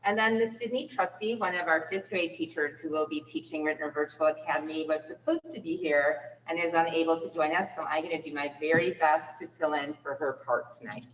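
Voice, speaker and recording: A woman with a loud teacher voice and a somewhat low pitch presents to a school board in an upbeat, explaining manner. She is picked up from a distance in a large meeting room, and her voice rings with a long hall echo. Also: fairly even intonation, brisk, hardly any pauses. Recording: echoey hall from far off.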